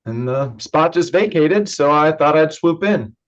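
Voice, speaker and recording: A man's voice: fairly high pitch, expressive, a little slow. An actor playing a polite, modest, guarded young man in a virtual staged reading. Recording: dull, lo-fi online call audio.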